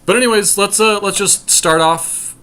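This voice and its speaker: A man's voice: high voice, moderate pace, pushed and bright, smooth. A man co-hosting a podcast with casual, unscripted commentary. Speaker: podcast co-host.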